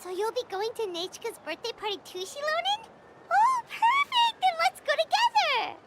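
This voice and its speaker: An actress voicing a small, eager, childlike video game companion with a squeaky voice and an earnest, exclamatory manner. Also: female voice, big pitch swings, normal speed, heavy background noise. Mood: delighted. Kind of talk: English video game voice acting.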